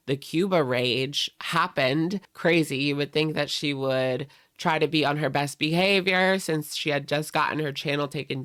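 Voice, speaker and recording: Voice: mid-range voice, fairly bright tone, no room sound, clean studio sound. An internet drama channel host giving casual gossip commentary and chatting directly to viewers.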